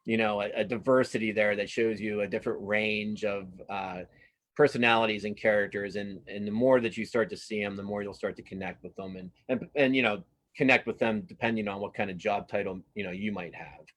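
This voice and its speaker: A man giving a casual interview as a cartoonist guest, speaking haltingly and modestly, often repeating words as he thinks. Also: fairly low pitch, steady tone, fairly bright tone.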